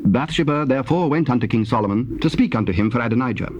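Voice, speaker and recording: A man narrating dramatized scripture in a formal, solemn and measured style. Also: medium pitch, lively intonation. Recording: noisy, hissy old cassette tape.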